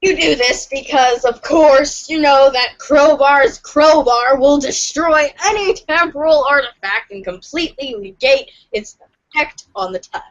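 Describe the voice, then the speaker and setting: A woman with a high voice reads a comic aloud in a dramatic, playful way. Her pitch moves around a lot and she takes her time. The sound is slightly dull. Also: unhurried. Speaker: podcast reader of a webcomic. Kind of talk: theatrical comic read-aloud.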